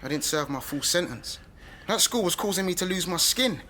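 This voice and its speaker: A man's voice: high-pitched, expressive, a little fast, bright, forceful tone, slight background noise. A man playing a cheeky, slangy, argumentative young London son in a radio drama. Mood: wheedling, cocky. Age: young adult.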